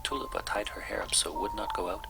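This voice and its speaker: A man reading a children's story aloud as soft-spoken bedtime narration, with music behind him. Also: fairly low pitch, fast, gravelly. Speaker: storybook reader.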